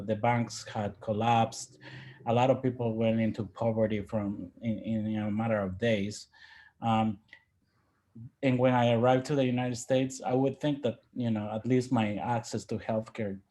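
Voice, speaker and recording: A man who grew up in Ecuador speaks as an interview guest, with Spanish as his first language. He reflects on personal experience in a halting, thoughtful manner, often restarting his words at a middling pitch. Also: a little mellow. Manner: halting, thoughtful, with repeated word starts.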